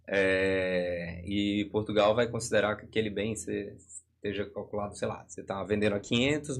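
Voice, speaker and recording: A man with a Brazilian accent and a fairly low voice. He speaks as an expert in a live discussion, explaining step by step with hypothetical examples. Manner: didactic, works through hypothetical examples.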